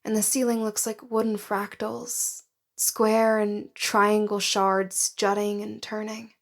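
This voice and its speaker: An actress playing a frightened, awed woman explorer in an audio drama, describing her surroundings in hushed, halting phrases. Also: medium pitch, flat delivery. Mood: awed, cautious. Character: frightened woman explorer guided over radio, awed and anxious.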